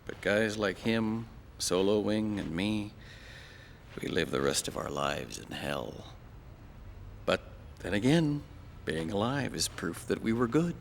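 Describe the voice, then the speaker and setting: An actor playing a wry, world-weary male mercenary pilot with a gravelly voice, brooding through a dramatic game monologue. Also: fairly low pitch, big pitch swings, slow pace, slight background noise, heavily acted.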